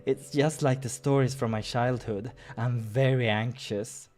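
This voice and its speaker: A man hosting a playthrough, reading a visual novel aloud in an expressive way with casual asides. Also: mid-range voice, measured pace. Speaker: let's-play host.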